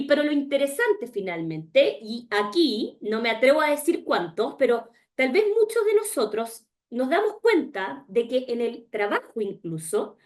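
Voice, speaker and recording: Woman, Chilean accent, slightly high voice, webinar presenter, video call, engaged, rhetorical questions. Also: a little mellow. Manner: engaged, asks rhetorical questions, often tags "¿ya?". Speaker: webinar speaker.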